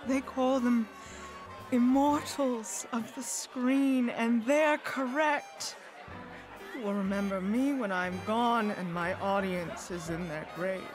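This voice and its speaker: A woman playing a vain, fading stage actress in an audio drama, theatrical and deliberate. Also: on the high side, music in the background. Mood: bitter, grandiose.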